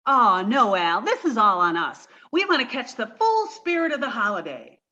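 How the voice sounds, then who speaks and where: Woman acting high, bright, dim-witted young Irma. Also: big pitch swings, normal speed, lo-fi sound. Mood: sweet, eager. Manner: naive, earnest. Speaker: amateur theatre performer. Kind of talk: 1940s radio sitcom acting.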